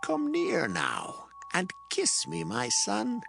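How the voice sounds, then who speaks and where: A man's voice: high-pitched, wildly swooping pitch, slow, vocal fry, over music, heavily acted. An actor playing an aged, solemn patriarch father in a dramatized scripture reading, speaking with emotion. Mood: solemn blessing. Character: aged patriarch father, solemn, trembling, blessing his son.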